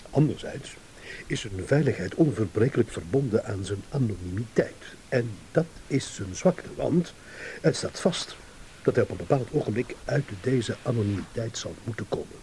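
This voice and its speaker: An actor playing a smug, shrewd, eloquent gentleman in a radio drama, with mock courtesy. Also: fairly low pitch, animated, normal speed. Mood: deliberate, reasoning. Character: smug, shrewd gentleman savouring a battle of wits. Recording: hissy old radio play recording.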